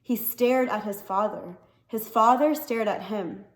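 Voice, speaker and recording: A woman reading a novel aloud as an expressive story narrator. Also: a little high, unhurried. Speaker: story reader. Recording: echoey, reverberant room.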